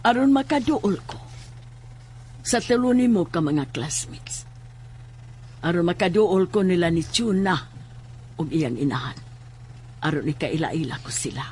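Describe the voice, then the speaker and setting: A woman playing a stern, secretive mother in a horror audio drama, speaking deliberately and probingly. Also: lowish voice, lively intonation, darkish tone, a little hiss or hum. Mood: ominous. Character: stern, secretive mother questioning her schoolgirl daughter.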